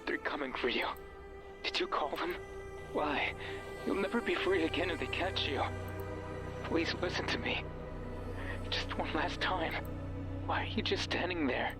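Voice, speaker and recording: A man's voice: fairly high pitch, a little slow, pauses often, music in the background, close to an everyday voice. An actor playing a manipulative, obsessive man's voice from a radio in a horror game, speaking with intimate, insistent, theatrical intensity. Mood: urgent, then desperate, agitated. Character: manipulative, pleading male voice from a radio, obsessive and sinister. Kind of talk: dramatic horror game voice acting.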